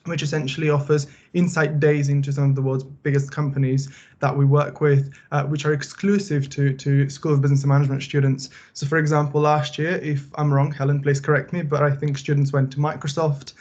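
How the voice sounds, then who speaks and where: A young man from Bulgaria, a final-year student, giving a relaxed, conversational talk with many fillers. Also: fairly high pitch, fairly quick, hardly any pauses, highs cut off. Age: young adult. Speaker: student presenter. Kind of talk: casual presentation.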